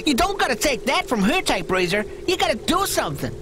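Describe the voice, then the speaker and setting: High swoopy gang android, feisty street slang, game dialogue, music behind. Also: female voice, big pitch swings, a little fast, background noise. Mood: goading, hostile. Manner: goading, foul-mouthed street slang. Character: feisty, excitable female android gang member.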